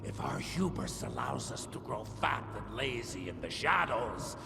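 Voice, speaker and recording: A man's voice: medium pitch, very animated, a little slow, creaky, full character voice. An actor playing a grandiose, scheming male vampire lord in a video game cutscene. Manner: grandiose, persuasive. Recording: long reverb, loud music.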